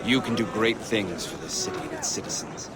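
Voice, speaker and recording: An actor playing a courteous, earnest middle-aged army colonel in a video game, speaking formally in measured, gentlemanly phrases. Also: male voice, on the low side, heavy background noise, lightly acted. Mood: calm, persuasive. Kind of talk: video game dramatic acting.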